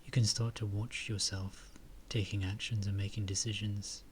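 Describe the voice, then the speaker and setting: A man leading a guided meditation and reflection in a soft, calm way, with phrases trailing into breathy murmurs. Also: on the low side, normal speed, slight background noise.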